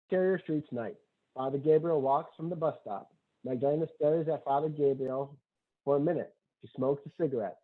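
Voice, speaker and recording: A man's voice: fairly high pitch, a little fast. A man who wrote a screenplay, reading its stage directions and talking as narrator of the table read. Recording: tinny, phone-like call audio.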